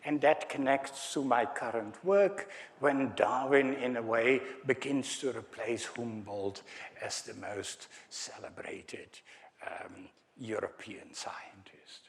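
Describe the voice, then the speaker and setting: A man's voice: medium pitch, slow. A man with a Dutch accent giving a conference lecture, hesitant and full of fillers, with wry asides to the audience. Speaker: academic speaker.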